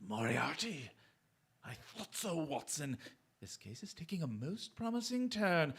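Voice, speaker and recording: A man plays a self-assured gentleman detective in theatrical radio drama style. His pitch moves in lively sweeps, and his phrasing is formal, brisk and authoritative. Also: vocal fry, breathy edge, full character voice. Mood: intrigued, commanding.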